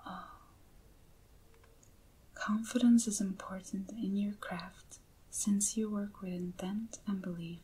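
Slow, whispering woman, soft and breathy. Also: mid-range voice. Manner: gentle, hushed, unhurried. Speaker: ASMR video host. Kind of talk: soft-spoken whispered ASMR unboxing.

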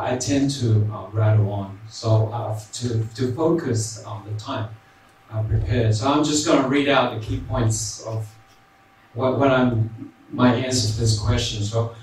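A man's voice: medium pitch, normal speed. A young man with an Australian accent reading prepared points on a panel into a handheld mic, with many ums and tag "right?"s. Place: large hall. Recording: very echoey hall, distant mic, faint noise.

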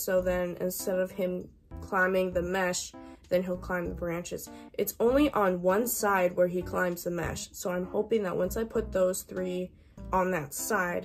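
A woman, talking casually to camera as a vlogger. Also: on the low side. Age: 20s.

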